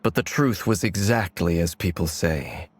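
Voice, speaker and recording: A man playing a grave, guarded immortal wanderer in a video game, with a solemn, formal, measured way of talking. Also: low voice, animated.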